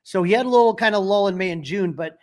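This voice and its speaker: A man with a high voice talks sports as a podcast co-host. He is opinionated and emphatic in a casual fan's way, and the sound is close and dry. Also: fast, darkish tone, no room sound. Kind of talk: casual sports talk and commentary.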